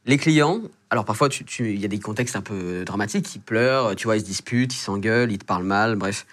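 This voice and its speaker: A man hosting a podcast, asking his guest quick, joking questions in a casual chat. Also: fairly low pitch, a bit bright. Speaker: podcast host.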